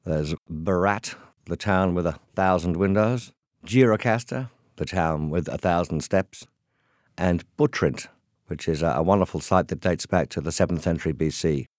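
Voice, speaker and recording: A man guesting on a radio travel show, a tour leader explaining sites in a measured way. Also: low voice, a little mellow, dry recording, studio quality. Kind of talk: radio interview.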